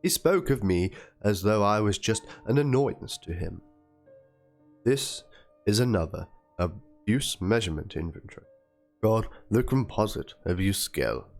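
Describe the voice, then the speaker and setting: A man with a fairly low voice performs a dramatic reading of a written document. He narrates it theatrically. Faint music plays behind his voice. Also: lively intonation, unhurried, creaky, a little mellow. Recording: music under the voice. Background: background music.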